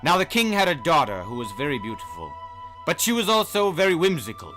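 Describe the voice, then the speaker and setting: A man hosting and narrating old tales in a dramatic storybook style, with emphatic, deliberate phrasing. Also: a little high, wildly swooping pitch, low-quality recording. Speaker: podcast host and story reader. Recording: music under the voice. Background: music.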